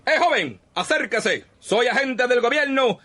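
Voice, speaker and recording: A man's voice: extremely high pitch, lively intonation, measured pace, pushed and bright, exaggerated voice. A man playing a flamboyant, greedy secret agent in a parody radio soap, theatrical and cackling. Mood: greedy, manic.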